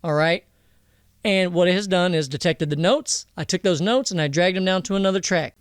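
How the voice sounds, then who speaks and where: A man presenting a casual software tutorial in a slangy, jokey, irreverent way, speaking close to a studio microphone. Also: sits high, brisk, clean tone, no echo.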